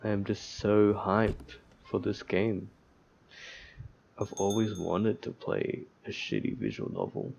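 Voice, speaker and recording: Male let's player, heavy vocal fry, casual read-aloud with offhand joking commentary, muffled low-fidelity recording. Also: big pitch swings, takes it slowly, darkish tone, a little hiss or hum. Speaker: let's player reading a visual novel aloud. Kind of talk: casual gaming commentary mixed with reading game text aloud.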